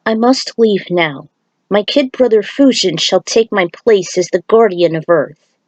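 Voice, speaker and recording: A woman's voice: medium pitch, expressive, unhurried, a little mellow, no room sound. A woman voicing a solemn, fatherly male thunder god in a grave, formal story farewell. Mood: solemn, tender.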